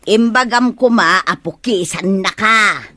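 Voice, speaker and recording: A woman's voice: mid-range voice, unhurried, pushed and bright. A woman with an Ilocano accent playing a nosy, sympathetic village mother in a radio drama, questioning and coaxing. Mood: concerned.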